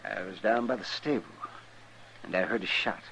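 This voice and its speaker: An actor playing a crusty, bullheaded older frontier doctor in a 1950s radio western, with a gravelly voice and a dry, curt manner. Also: male voice, low voice, moderate pace, a bit bright. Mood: weary, bitter. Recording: faintly noisy old radio recording.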